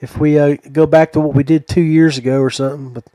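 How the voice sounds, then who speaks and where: A man's voice: a little high, little pitch movement, fast talker, mellow, no room sound. A man hosting a podcast in a casual, conversational interview style. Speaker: podcast host.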